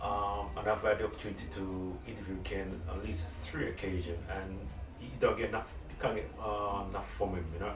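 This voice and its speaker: Male interviewer, lowish voice, effusive and encouraging, recorded off-mic with clear background noise. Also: level tone, fairly quick, few pauses, echoey room, recorded from a distance. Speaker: interviewer, host. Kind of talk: informal interview.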